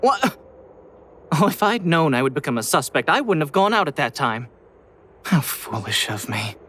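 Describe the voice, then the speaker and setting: A woman's voice: low-pitched, sing-song, moderate pace, a bit bright, a little hiss or hum. A woman playing an anxious, apologetic vagrant in a video game, fretful, hesitant and pleading. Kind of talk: English video game voice acting. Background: game music.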